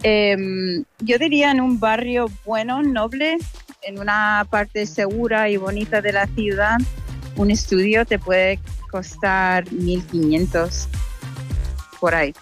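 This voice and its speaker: A woman with an American accent, a native English speaker, talks in a casual interview style. She is chatty and colloquial, pausing to find words, with soft music behind her. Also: mid-range voice, fairly even intonation. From United States. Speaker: interviewee, content creator.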